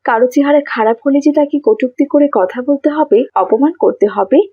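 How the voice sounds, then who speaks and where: A woman's voice: high voice, fairly quick, dry recording, highs cut off. A woman narrating a scripted moral story for children.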